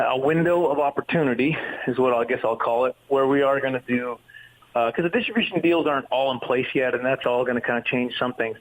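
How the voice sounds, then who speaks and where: A man from Canada giving a casual radio interview as a guest, talking in a halting, rambling way and repeating words as he goes. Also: medium pitch, rough recording. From Canada, near Vancouver.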